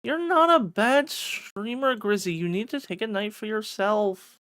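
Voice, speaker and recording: A livestreamer chatting casually and excitably with viewers, swearing freely as they banter. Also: medium pitch, unhurried, no echo, studio quality. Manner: excitable, profane, bantering with chat. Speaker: streamer. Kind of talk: casual livestream chat and reading a visual novel aloud.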